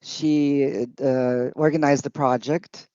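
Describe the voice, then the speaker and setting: A woman's voice: rumbling low, fairly even intonation, slow pace, dark tone, clean tone, dry recording. A woman interpreting at a public hearing in short, hesitant, piecemeal phrases. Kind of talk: halting consecutive interpretation. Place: committee hearing. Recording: dull, muffled audio.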